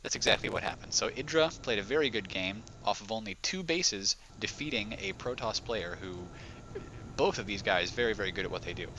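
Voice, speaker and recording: A man's voice: lowish voice, brisk, slight background noise. A man casting a strategy game match for beginners, explaining patiently with frequent fillers, his microphone sometimes making his voice sound robotic. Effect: occasional robotic microphone distortion. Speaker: game commentator. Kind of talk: beginner-friendly game commentary. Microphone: home microphone. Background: loud game music and battle sounds.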